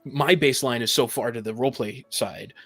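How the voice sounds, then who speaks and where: A man's voice: medium pitch, brisk, a bit bright, faint music behind. A man in a casual panel discussion about games, explaining his opinions at length. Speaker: podcast panelist. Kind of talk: casual discussion of tabletop gaming.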